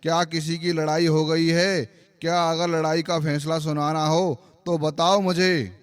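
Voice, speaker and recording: A man acts out a benevolent lion who rules a cartoon forest. The voice sits a little high for a male. The delivery is emphatic and paternal, full of reassurance and authority. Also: flat delivery, measured pace, smooth, no echo, close to an everyday voice. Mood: questioning. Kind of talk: Hindi animated moral-story voice acting.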